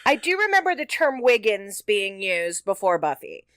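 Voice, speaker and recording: A woman's voice: medium pitch, very animated, normal speed, a bit bright, dry recording. Woman chatting in a close, dry recording. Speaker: podcast co-host. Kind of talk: casual panel discussion of a TV show.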